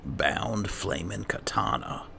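An extremely deep-voiced man with heavy vocal fry commentates a game in character. He is slow and laid-back, muttering to himself over noisy game ambience. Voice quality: gravelly. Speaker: gaming video host, player. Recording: clear game ambience noise behind. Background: video game ambience.

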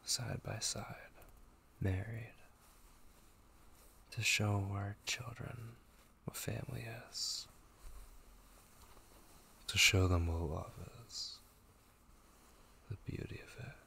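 A man playing a tender, devoted young gamer boyfriend in an ASMR roleplay, speaking softly, intimately and hesitantly with a husky voice. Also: fairly low pitch, takes it slowly, long pauses, a little hiss or hum, full character voice. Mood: tender, earnest. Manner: soft, hesitant, intimate, with murmured asides. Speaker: ASMR voice actor.

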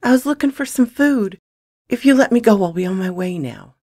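A reader voicing a frightened small boy who stammers and pleads in a small, high voice in an audiobook. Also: expressive, brisk, a little mellow, no echo, studio quality. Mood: frightened pleading.

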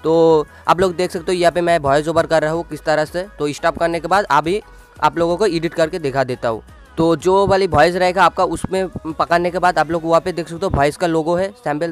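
Casting: young male tutorial host, fairly high voice, casual and halting, music underneath. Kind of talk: casual screen-recorded how-to narration.

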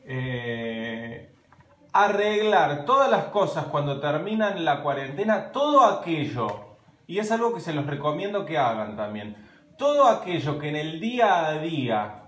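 A man in his thirties with an Argentine accent, chatting casually and animatedly on a live stream from his home kitchen, filmed on a phone held at arm's length. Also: fairly high pitch. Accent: Argentine Spanish. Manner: chatty, animated, gesturing while talking. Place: home kitchen at night, indoors. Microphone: phone camera at arm's length. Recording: roomy, echoey room.

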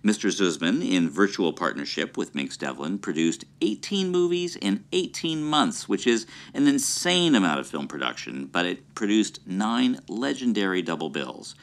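A man's voice: deep voice, sing-song, normal speed, hardly any pauses. A man as a podcast guest, explaining film history in an amused, storytelling way.

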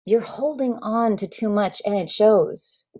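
A woman's voice: medium pitch, unhurried, dark tone, lightly acted. Woman reading as a blunt, self-absorbed male artist. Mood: blunt, critical. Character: blunt, self-absorbed artist man, recalling his life.

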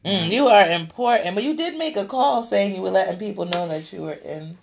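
A woman's voice: medium pitch, animated, vocal fry, slight background noise. A woman in her thirties co-hosting a casual radio chat in a small studio, playful and chatty, filming on a phone at arm's length. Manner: chatty, playful, quick asides. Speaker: radio co-host.

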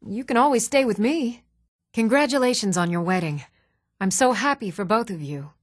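An actress playing an even-toned fantasy townswoman in scripted video game dialogue, with a measured, composed delivery. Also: on the high side, no room sound, clean studio sound. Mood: friendly, matter-of-fact.

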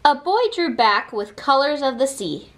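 Expressive high-voiced woman reading a picture book. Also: moderate pace. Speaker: read-aloud reader. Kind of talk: children's picture book read-aloud.

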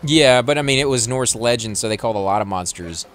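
A man's voice: mid-range voice, expressive, faint music behind. Chatty Texan man commenting on gameplay. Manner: chatty, rambling, quick to laugh. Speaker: let's play host.

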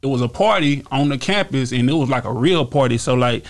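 A man in his thirties with a Texas accent, a guest rapper talking in a relaxed, rambling way with lots of "you feel me" in a small studio on a stand mic. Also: medium pitch, fairly quick. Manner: relaxed, repeats phrases and fillers, gestures while talking. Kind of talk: casual interview storytelling. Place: small podcast studio indoors. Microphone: studio mic on stand with headphones.

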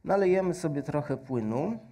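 A man in his thirties doing a stage science demonstration, explaining slowly with many fillers and adding a faith message, on a headset mic. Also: fairly high pitch, expressive, mellow. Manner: slow, patient explaining with frequent fillers. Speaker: science presenter. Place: large stage with audience hall.

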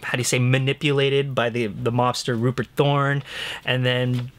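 A man in his thirties co-hosts a casual review discussion. His voice sits at a medium pitch. He talks in a conversational, enthusiastic way, as if going through a list with a friend. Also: little pitch movement, normal speed, a bit bright. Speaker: co-host of a film and TV review show. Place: indoor room lined with shelves of books and discs.

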